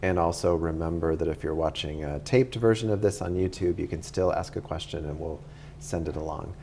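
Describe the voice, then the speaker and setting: Campus show host, man, low dark voice, friendly and halting. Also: slight background noise. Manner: friendly, halting with many ums. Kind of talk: casual interview chat.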